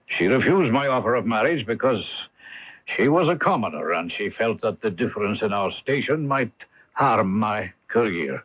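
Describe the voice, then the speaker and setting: Stately, troubled Duke with husky voice. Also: male voice, on the low side, expressive, fairly bright tone. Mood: grave confession. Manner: stately, formal, deliberate. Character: proud, troubled aristocratic Duke, a father. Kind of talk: 1980s radio mystery acting. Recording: dull lo-fi AM radio recording.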